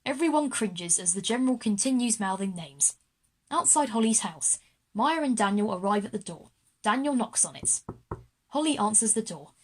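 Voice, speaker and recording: A woman's voice: medium pitch, expressive, moderate pace, husky. A woman reading scripted narration as the narrator of a kids' audio drama.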